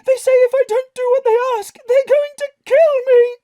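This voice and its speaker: A woman's voice: extremely high pitch, fairly even intonation, moderate pace, mellow. An actress playing a frightened young woman phoning for help, crying and pleading. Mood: panicked. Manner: panicked, pleading. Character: terrified young woman caller in danger.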